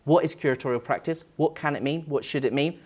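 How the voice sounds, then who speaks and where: A man's voice: on the high side, quick pace, husky. A man, a museum curator on a panel, speaking in an earnest, reflective way and posing rhetorical questions. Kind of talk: panel talk.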